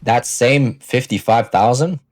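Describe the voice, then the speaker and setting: A man's voice: medium pitch, a little slow, dry recording. A man in his early twenties giving a casual, blunt motivational monologue to viewers, assertive and swearing freely, on a close microphone. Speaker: finance vlogger.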